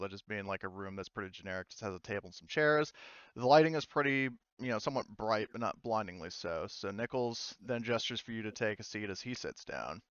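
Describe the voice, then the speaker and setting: A man narrating a tabletop roleplaying game as game master in a casual, halting, self-correcting way. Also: on the low side, a bit bright, clean tone, no echo, dull audio.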